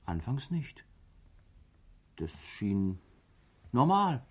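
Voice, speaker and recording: A hesitant male witness in a radio play. He speaks very slowly in a husky voice, searching for each word and answering with deliberation. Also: mid-range voice, very animated, exaggerated voice. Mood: hesitant, deliberate. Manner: halting, pausing to search for words. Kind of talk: radio drama acting.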